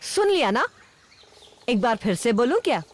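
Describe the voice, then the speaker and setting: A woman playing an eager, naive and plucky young boy in a cartoon dub, earnest and excitable. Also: a little high, moderate pace, music in the background. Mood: eager, hopeful. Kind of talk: animated cartoon dubbing.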